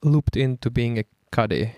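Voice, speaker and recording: A man's voice: medium pitch, normal speed, warm tone, no echo, studio quality. A man hosting an interview, asking curious questions in loosely worded English. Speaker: podcast host. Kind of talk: casual interview.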